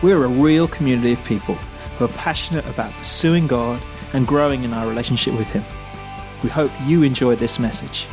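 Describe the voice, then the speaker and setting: A man's voice: medium pitch, fairly quick. A man reading a scripted, welcoming podcast intro. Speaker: podcast announcer. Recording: over guitar music. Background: guitar music.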